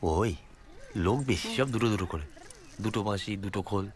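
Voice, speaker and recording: An actor playing a casual, evasive younger brother who plays flute, in a naturalistic drama with clipped talk. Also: male voice, on the low side, fairly quick, close to an everyday voice. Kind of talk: naturalistic film drama dialogue.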